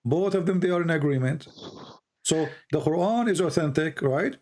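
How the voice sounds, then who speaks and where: Combative Arabic-accented man, fairly high voice. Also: normal speed, darkish tone, a little hiss or hum. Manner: combative, insistent, repeats words for emphasis. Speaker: debate host. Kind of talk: heated religious debate.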